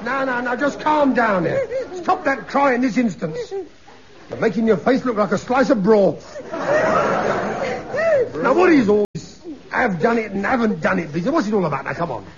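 An actor playing a blustering, pompous middle-aged father in a radio comedy, loud and bossy, mangling long words. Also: very high-pitched, big pitch swings, normal speed, pauses often, crowd noise, exaggerated voice. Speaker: comic character. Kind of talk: 1950s radio comedy acting. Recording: dull old radio recording with studio audience.

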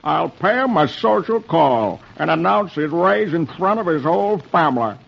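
Blustering cartoon boss, acted by a man, slightly high, creaky, drawn-out delivery, old radio funnies. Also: lively intonation, faint music behind. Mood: self-satisfied. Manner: slow, emphatic, drawn-out delivery. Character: blustering middle-aged comic-strip boss, pompous and self-pleased. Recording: dull old radio recording, faint noise.

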